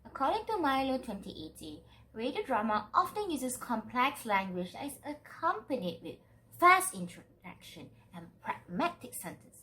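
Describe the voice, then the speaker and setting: Young woman reading out a student presentation, really high voice, super up-and-down, emphatic and formal, bit of noise. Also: big pitch swings, moderate pace, pushed and bright, poor audio. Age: young adult. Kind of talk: scripted academic presentation.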